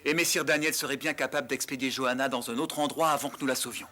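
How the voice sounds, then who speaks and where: A man's voice: mid-range voice, fairly even intonation, fast, sharp tone, natural voice. An actor playing a brave, earnest young nobleman in a French cartoon dub. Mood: determined. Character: brave, earnest young nobleman seeking his father's killer.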